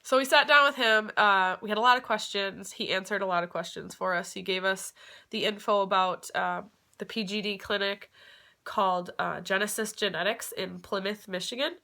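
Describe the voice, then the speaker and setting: A woman's voice: mid-range voice, fairly even intonation, normal speed, vocal fry, bright, forceful tone. A young woman with a cold, sounding stuffed up, chatting casually to her vlog viewers with lots of fillers. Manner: chatty, many fillers, occasional laughs. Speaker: vlogger.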